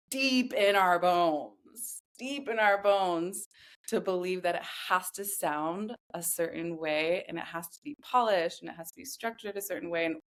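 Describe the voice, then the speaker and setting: A woman in her thirties speaking as a podcast guest, telling her story in a reflective, earnest way with measured, deliberate phrasing. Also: fairly low pitch. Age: 30s. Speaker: podcast guest, somatic voice guide. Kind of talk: reflective personal interview talk.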